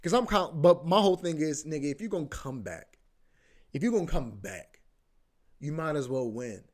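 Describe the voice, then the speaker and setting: A man's voice: fairly high pitch, animated, moderate pace. A man hosting a podcast, rambling casually about sports, repeating himself, swearing and saying "bro" a lot. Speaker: podcast host.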